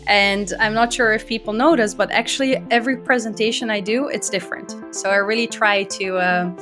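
A woman's voice: medium pitch, fairly even intonation, a little fast, music in the background. A woman who grew up in the Netherlands, guesting on a podcast and talking enthusiastically with frequent "uh" and "right?". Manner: enthusiastic, often adding "right?" and "uh". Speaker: podcast guest, community organizer. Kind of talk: conversational interview.

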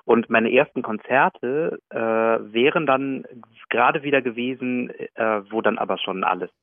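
A pianist talking over the phone as a guest, relaxed and self-deprecating, with frequent fillers. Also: male voice, medium pitch, a little slow, dry recording. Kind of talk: casual phone interview. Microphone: telephone. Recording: tinny phone line.

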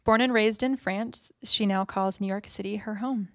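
Lady host at a live show, relaxed, lots of ums, dry close sound. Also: mid-range voice, moderate pace, no room sound. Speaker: podcast host. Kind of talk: live on-stage interview.

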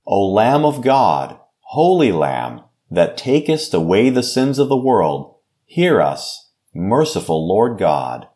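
A man, a pastor, giving a devotional and reading scripture aloud in a slow, measured way. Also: on the low side, big pitch swings, mellow. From United States.

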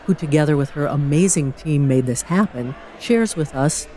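A woman's voice: sits low, moderate pace, dark tone, slight background noise. A woman giving upbeat, scripted narration for an event video. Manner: upbeat promotional delivery.

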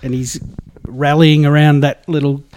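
A man's voice: a little high, little pitch movement, measured pace, a little mellow, a little hiss or hum. A man co-hosting a casual podcast, with teasing, dry banter. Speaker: podcast co-host. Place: home studio in a share house.

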